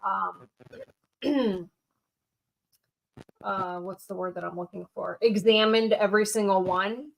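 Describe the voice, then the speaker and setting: Slow-spoken woman listing auction items. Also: medium pitch, fairly even intonation, vocal fry, rough recording. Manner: slow, itemizing, hesitant with ums. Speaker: auction co-host. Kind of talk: describing items for sale.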